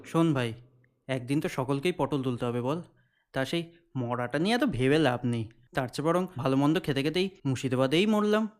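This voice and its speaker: A man's voice: medium pitch, animated, brisk, long reverb tail, close to an everyday voice. A man playing a gluttonous, easygoing and slightly sly young fellow in a comic audio drama, sounding self-satisfied. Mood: contented.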